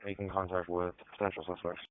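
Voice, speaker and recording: Deep male voice on police scanner, terse, dry and phone-like. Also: little pitch movement, fast talker, no room sound. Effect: two-way radio. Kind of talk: terse police radio talk.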